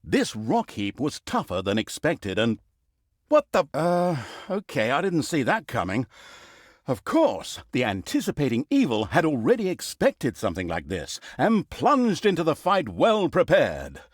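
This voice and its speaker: An actor playing a wry, theatrical and easily exasperated narrator of a fantasy video game, telling the story with mock grandeur. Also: male voice, a little high, huge pitch swings, unhurried, breathy edge, no room sound, studio quality, heavily acted. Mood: sardonic aside. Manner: sardonic, mock-grandiose, breaking the fourth wall. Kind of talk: scripted comic fantasy storytelling.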